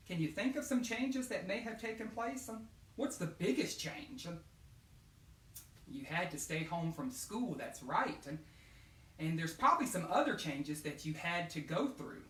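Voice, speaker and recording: A man's voice: fairly high pitch, a bit bright, a little hiss or hum. Male educator hosting a children's read-aloud, encouraging and questioning, recorded in a roomy space at some distance from the mic. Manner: encouraging, asks questions and answers them himself. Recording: roomy echo, distant microphone.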